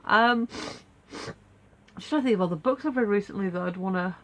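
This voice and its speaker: A woman talks into her camera at home, her nose stuffed up and snuffly from a cold. She rambles along casually, laughing at herself and filling gaps with ums and sighs, in a mid-pitched voice. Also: moderate pace. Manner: rambling, self-deprecating, many fillers. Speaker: vlogger. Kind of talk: casual daily video diary.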